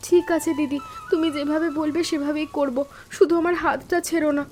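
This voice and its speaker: A woman playing a timid, earnest young sister-in-law in an animated story, with an anxious, pleading and deferential manner. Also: high voice, brisk, faint music behind, natural voice. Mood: grateful, trusting.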